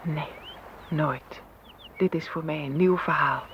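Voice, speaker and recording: A man's voice: fairly high pitch, slow, gravelly, fairly bright tone, full character voice. A man playing a wistful, anxious flowing stream in a poetic radio play. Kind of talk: Dutch radio play acting. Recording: noisy old radio play recording.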